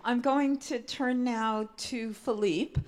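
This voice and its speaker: A woman moderating a conference panel, framing a question for a speaker in a measured, formal way. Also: on the high side, steady tone, a little mellow.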